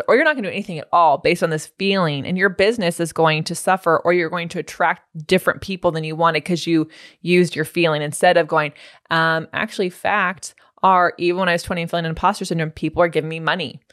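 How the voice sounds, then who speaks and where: A woman's voice: lowish voice, steady tone, fast talker, no echo. Affirming podcast host woman, dry mic. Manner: warm and affirming, laughs often. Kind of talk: casual podcast interview.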